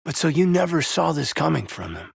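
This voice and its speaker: A loyal, wounded soldier in a cinematic game, speaking in a husky mid-range voice. His delivery is sincere and measured, with hesitant pauses and expressive swings in pitch. The sound is close and dry. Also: dry recording, full character voice. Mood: casual, candid. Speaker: video game character, military officer. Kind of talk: cinematic video game dialogue acting.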